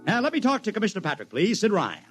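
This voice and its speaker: A man's voice: high voice, wildly swooping pitch, very fast, over loud music. An actor playing a brash, cocky New York publicist in 1950s radio drama style, with slangy, boastful salesman's patter. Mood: cocky, scheming.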